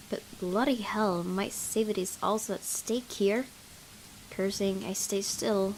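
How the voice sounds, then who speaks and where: Gamer girl reading visual novel text out loud, normal pitched voice, expressive, noticeable noise behind her. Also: low-quality recording. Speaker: gaming video host. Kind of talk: reading visual novel narration aloud, in character. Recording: clear background noise.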